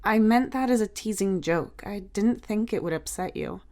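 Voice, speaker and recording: A woman's voice: mid-range voice, moderate pace, a little mellow, lightly acted. A woman voicing a teasing, bossy but caring big sister, a young beautician, in breezy, coaxing audiobook dialogue. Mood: gentle.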